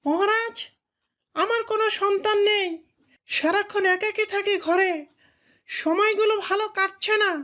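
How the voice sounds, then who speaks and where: Lady voicing a lonely cartoon queen, super high voice, plaintive and coy. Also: steady tone, moderate pace, pauses often, a bit bright. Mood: wistful, sulky. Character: lonely, wistful queen, sulky and pleading wife. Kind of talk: cartoon voice acting.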